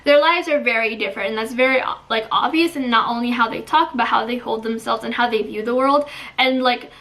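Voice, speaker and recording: Chatty higher-pitched woman reviewing books. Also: a little fast, a bit bright, far from the mic, slight background noise. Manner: chatty, filler-heavy with frequent "like". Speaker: book reviewer. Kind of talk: casual spoken book review.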